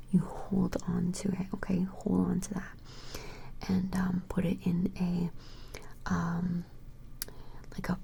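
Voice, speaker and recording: A woman doing a gentle ASMR personal attention role-play as an attentive shopkeeper, whispering breathily and a little hesitantly, close to the microphone. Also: on the low side, gravelly, a little hiss or hum. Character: attentive vampire shopkeeper measuring a creature customer.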